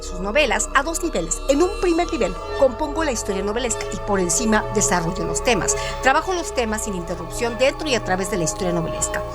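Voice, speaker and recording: A woman host reading a scripted trivia segment in a measured, expressive way. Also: fairly high pitch. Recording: music playing underneath. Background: background music.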